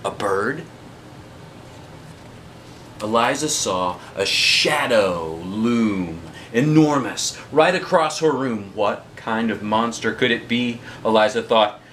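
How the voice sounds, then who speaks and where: A man's voice: lowish voice, takes it slowly, a bit bright, slight background noise. A man reading a picture book aloud as a dad would, with dramatic rhythmic phrasing and casual asides to children.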